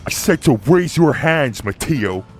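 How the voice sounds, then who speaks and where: A man playing a stern, commanding enforcer in an audio roleplay, barking forceful orders over music. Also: on the high side, very animated, measured pace. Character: stern, commanding male enforcer confronting suspects. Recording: loud music behind the voice.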